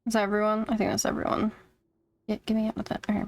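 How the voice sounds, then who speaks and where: A woman's voice: medium pitch, completely flat delivery, rapid-fire. A woman chatting casually as a game streamer, musing aloud in an informal, offhand commentary.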